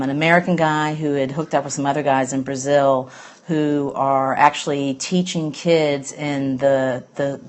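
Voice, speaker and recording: A middle-aged woman from Alabama with a Southern accent, chatting in a rambling, easygoing way on a phone live stream as host of a news show. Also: sits low. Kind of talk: casual live-stream chat.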